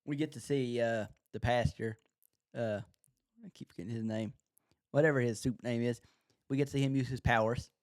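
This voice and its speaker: A man's voice: medium pitch, fairly even intonation, a little fast, pauses often, darkish tone, no echo, studio quality. A man co-hosting a podcast, talking casually as he reacts to a TV show. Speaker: podcast co-host.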